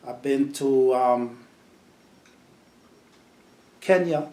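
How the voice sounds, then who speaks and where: Man, mellow voice, laid-back storytelling, roomy echo. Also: medium pitch, extremely slow. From New York. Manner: relaxed, storytelling, repeats words. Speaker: sound system selector. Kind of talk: casual interview answers.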